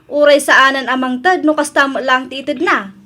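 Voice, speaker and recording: A woman's voice: high voice, normal speed, music in the background, close to an everyday voice. A woman with an Ilocano Filipino accent acting a gossipy, mocking village auntie in a comedy radio drama, nosy and teasing.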